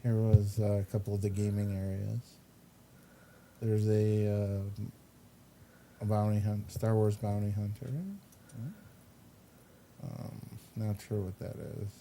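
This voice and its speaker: Male host, relaxed dark tone, halting casual photo commentary, faint room noise. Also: fairly low pitch, vocal fry.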